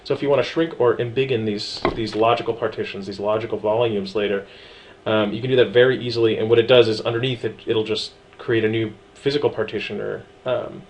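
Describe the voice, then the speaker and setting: Laid-back man with a lowish voice. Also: slight background noise, poor audio. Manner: casual, loose, lots of ums and asides. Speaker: tutorial presenter. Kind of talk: screen-recorded software walkthrough.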